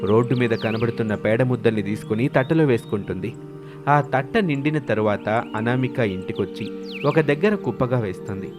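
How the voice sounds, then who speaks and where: A man reading scripted narration for a moral story. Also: medium pitch. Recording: music clearly behind the voice. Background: background music.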